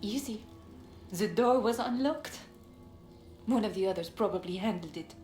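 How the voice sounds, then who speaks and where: A woman's voice: mid-range voice, moderate pace, mellow, lightly acted. Game part, female actor: embittered elf servant, mid-range voice, wary and plainspoken, faint music underneath. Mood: guarded, explanatory. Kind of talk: video game cutscene dialogue. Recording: roomy echo, faint music behind.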